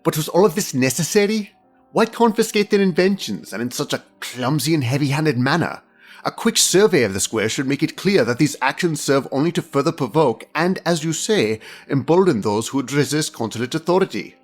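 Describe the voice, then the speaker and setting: A man playing a fastidious, analytical senior inspector, speaking with precise, formal pomposity in an audio drama. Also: on the high side, lively intonation, soft background music. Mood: indignant, reproving.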